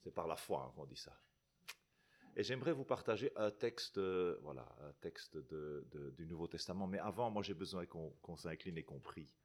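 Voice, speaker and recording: A man's voice: deep voice, expressive, normal speed, darkish tone. A middle-aged man from Belgium with a Belgian French accent, preaching to a congregation in a chatty way, often tagging his sentences with questions and restarting them. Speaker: guest preacher. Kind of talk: sermon to a congregation.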